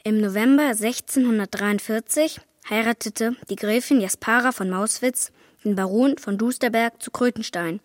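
A woman playing a plucky, excitable young boy ghost hunter in a children's audio drama. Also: on the high side, normal speed, dry recording, clean studio sound, lightly acted. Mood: intrigued.

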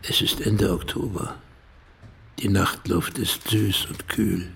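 An actor playing a solemn male companion who narrates intimately in a radio play, with a gravelly, measured voice. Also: low voice, fairly even intonation, a bit bright, exaggerated voice.

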